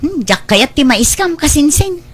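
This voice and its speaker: A woman's voice: sits high, sing-song, a little slow. An actress playing a polite young village woman in a scripted audio drama, hesitant and apologetic. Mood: apologetic, firm.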